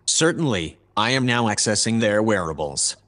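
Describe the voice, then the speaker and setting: An actor playing a polite, precise AI observer in an audio drama, speaking in a formal, measured way. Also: male voice, fairly low pitch, animated, fairly bright tone. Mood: calm, formal. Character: polite, precise male AI observer aboard a spaceship.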